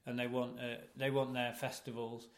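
A man's voice: medium pitch, completely flat delivery, moderate pace. Dry, wry man in an echoey room. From Sweden. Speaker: podcast co-host. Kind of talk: casual banter.

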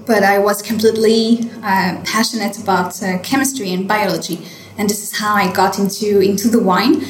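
A woman's voice: medium pitch, level tone, moderate pace. Echoey French woman winemaker, hesitant. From France. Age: late 20s. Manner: hesitant, many fillers and restarts. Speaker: winemaker guest. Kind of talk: interview answers. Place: hotel wine cellar.

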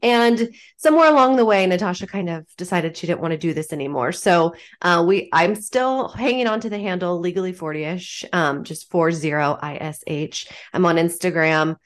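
A woman's voice: lowish voice, animated, moderate pace, hardly any pauses. A woman in her forties, an attorney guest on a podcast, sounding a little congested and chatting in a self-deprecating way with frequent laughs. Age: 40s. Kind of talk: casual podcast interview.

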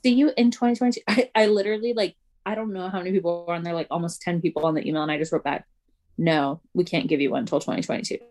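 A woman co-hosting a casual podcast, talking fast and loosely with lots of "like" and frequent laughs. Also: lowish voice, steady tone, darkish tone. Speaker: podcast co-host. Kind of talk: casual chat.

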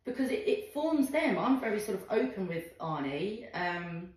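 A woman hosts a casual podcast chat and speaks emphatically, readily agreeing with her guest. Her pitch is average for a woman. A strong room echo surrounds her voice. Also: big pitch swings, moderate pace. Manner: chatty, emphatic, sympathetic reactions. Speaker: podcast host. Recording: very echoey room.

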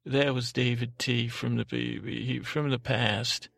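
A man with a creaky voice hosting a sleep podcast in a halting, meandering, informal monologue that often doubles back on itself. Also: medium pitch, steady tone, fairly bright tone, no echo. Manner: halting, meandering, self-interrupting. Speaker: podcast host.

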